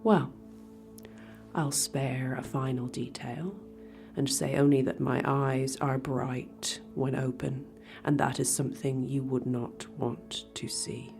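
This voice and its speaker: Low gravelly medusa lady, bored and sneery, slow breathy whispers, music underneath. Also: little pitch movement, darkish tone, exaggerated voice. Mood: haughty. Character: proud female medusa hiding behind a screen, bored and sneering. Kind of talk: audio drama acting.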